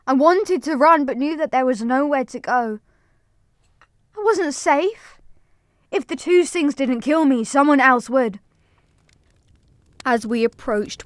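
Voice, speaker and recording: A woman's voice: a little high, fairly bright tone, no echo. A woman playing a frightened fourteen-year-old girl, telling her story with dramatic emotion in an audio drama. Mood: terrified, then tense, sombre. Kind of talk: dramatic first-person audio drama narration.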